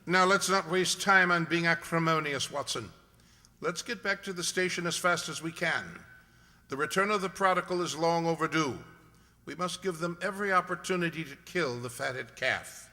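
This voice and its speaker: Brisk, commanding detective played by a man for radio drama. Very bright, forceful tone, reverberant hall. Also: fairly high pitch.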